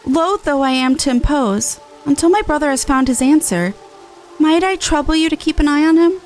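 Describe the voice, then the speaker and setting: Woman voicing a formal, expressive worried older sister. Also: sits high, faint music behind. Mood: earnest, concerned. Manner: measured, formal, earnest. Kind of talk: reading game dialogue aloud in character.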